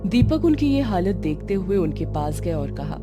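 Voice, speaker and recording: A woman's voice: fairly low pitch, sing-song, normal speed. A woman narrating a scripted horror story. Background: loud music.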